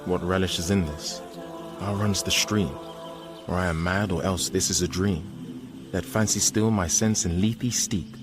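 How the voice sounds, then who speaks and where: An actor playing a bewildered young gentleman dazed by love, wondering aloud in a classical radio drama. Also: sits low, fairly even intonation, measured pace, loud music behind the voice. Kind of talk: classical radio drama acting in Shakespearean English.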